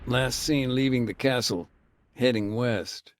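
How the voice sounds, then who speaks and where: An actor playing a weary male aid worker who recounts events in a measured, laconic way during an interview in a video game. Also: medium pitch, background noise, close to an everyday voice. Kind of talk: game cutscene dialogue.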